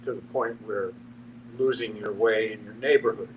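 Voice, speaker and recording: A man thinking aloud in a measured, reflective way during a panel discussion. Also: on the low side, fairly even intonation. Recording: distant mic with clear background noise.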